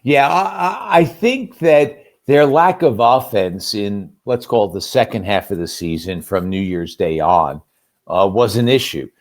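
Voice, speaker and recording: A man's voice: on the low side, animated, unhurried. A man giving conversational sports analysis as a guest commentator, talking emphatically and posing rhetorical questions. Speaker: guest sports radio play-by-play announcer.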